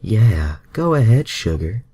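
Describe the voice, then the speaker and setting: Man acting flirty comic-book heroine, heartbroken, husky, swinging intonation. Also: on the low side, big pitch swings, slow, dark tone, full character voice. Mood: soft, coaxing.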